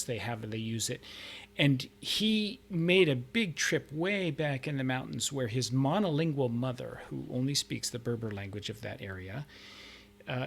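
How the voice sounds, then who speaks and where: Man, husky voice, casual conversational storytelling, podcast host. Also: mid-range voice, a bit bright.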